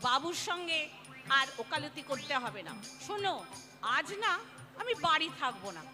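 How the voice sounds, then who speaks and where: Very high-pitched flustered housewife played by a woman. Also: big pitch swings, moderate pace, music in the background. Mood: evasive, impatient. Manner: theatrical, stammering, hesitant when evasive. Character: flustered, exasperated Bengali housewife, scheming and evasive. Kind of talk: dramatic play reading.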